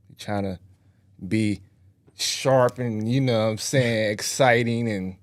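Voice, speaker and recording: A man in his thirties co-hosting a podcast in relaxed, slangy casual chat, trailing off with fillers. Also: lowish voice, slow, no room sound. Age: 30s. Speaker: podcast co-host.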